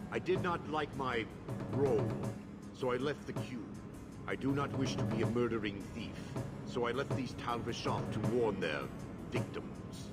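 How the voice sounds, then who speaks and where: A man's voice: mid-range voice, close to an everyday voice. Man playing stoic, formal giant mercenary. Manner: formal, measured, blunt. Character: stoic horned giant mercenary, proud and blunt. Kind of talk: video game dialogue acting. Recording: game audio under loud music.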